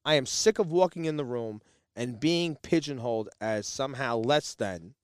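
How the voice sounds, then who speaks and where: A man's voice: mid-range voice, moderate pace, clear, resonant tone, no room sound. A man with a Jersey City accent co-hosting a podcast, talking in a heated, indignant way and often restarting his sentences. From New Jersey. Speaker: podcast co-host. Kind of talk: casual discussion.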